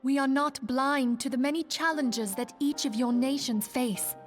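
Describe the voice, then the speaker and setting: A woman as a high-voiced, earnest idealist leader. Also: fairly even intonation, normal speed, faint music behind, close to an everyday voice. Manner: formal, persuasive, earnest. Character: earnest, idealistic female leader of a secret order. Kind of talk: fantasy video game acting.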